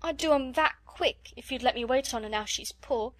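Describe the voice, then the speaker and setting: A woman's voice: sits high, moderate pace, dry recording, voice pushed up, lightly acted. A woman voicing a tearful young scullery maid. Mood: tearful pleading. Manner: pleading, sobbing, servant's dialect. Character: young working-class scullery maid girl, timid, tearful.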